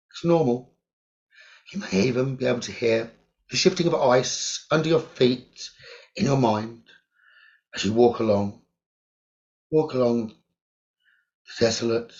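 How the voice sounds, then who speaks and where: A man of middle pitch leads a guided meditation, slow and soothing, repeating phrases and pausing often. The recording sounds dull and lo-fi. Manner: slow, soothing, repetitive instructions with long pauses.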